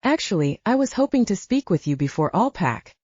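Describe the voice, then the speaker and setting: Narrator as a young female werewolf for an audiobook: quietly determined, earnest, expressive pitch, dry close sound. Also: brisk, dry recording, muffled recording. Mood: polite, earnest. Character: quiet yet firm pregnant young werewolf woman.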